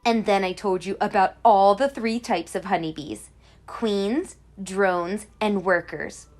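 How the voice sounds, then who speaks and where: A woman who teaches kindergarten, reading a children's picture book aloud in an expressive, explanatory way. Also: medium pitch, slow pace. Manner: clear explaining to young children, animated emphasis.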